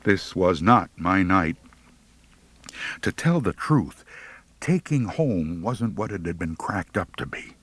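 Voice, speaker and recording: A man's voice: low voice, animated, takes it slowly, pauses often, a bit bright, breathy edge, dry recording. A man narrating a humorous first-person audiobook story in a wry, theatrical manner.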